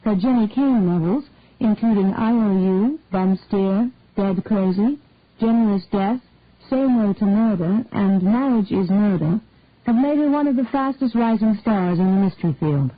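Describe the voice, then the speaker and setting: A woman narrates a short story in a measured, deliberate way, her pitch sitting in the middle range. The recording sounds thin and phone-like, with a completely dry, close sound. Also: no room sound.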